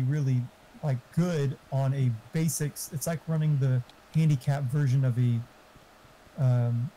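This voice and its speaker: Male gamer, mid-pitched and very mellow, casual opinionated voice-chat talk, muffled with slight background noise and typing. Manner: informal, swearing, opinionated. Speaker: gamer giving computer hardware advice.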